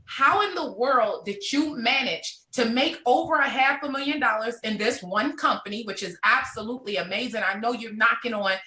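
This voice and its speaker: Animated, bright-voiced motivational woman. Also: fairly high pitch, moderate pace, hardly any pauses, reverberant. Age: around 30. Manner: animated storyteller, preachy motivational delivery. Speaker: network marketing entrepreneur. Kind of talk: motivational live conversation.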